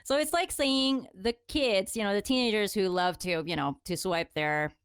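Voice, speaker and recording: A woman's voice: mid-range voice, normal speed, smooth, dry recording, clean studio sound. A woman from the Philippines co-hosting a podcast in casual chat, often repeating words as she explains things. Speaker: podcast co-host.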